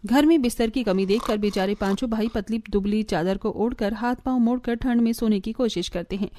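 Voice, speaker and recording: Soft-toned woman narrating a story. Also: on the high side, a little fast, a little hiss or hum.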